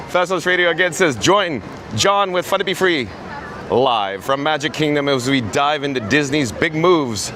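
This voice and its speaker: A man with a hoarse, raspy voice hosting a chatty live stream outdoors at a theme park and talking into a handheld phone. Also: medium pitch, noisy background. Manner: upbeat, chatty, reads chat aloud. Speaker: vlog host.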